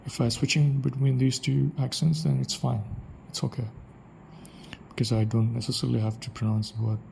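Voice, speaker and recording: A man's voice: mid-range voice, little pitch movement, brisk, creaky, dark tone, a little hiss or hum, poor audio. A man with an Indian English accent, thinking aloud in a reflective solo monologue, restarting his sentences often. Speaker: podcaster.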